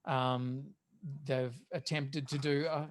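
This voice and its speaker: A man's voice: mid-range voice, level tone, takes it slowly. A man co-hosting a podcast in casual, opinionated discussion of pop culture, swearing now and then. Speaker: podcast host.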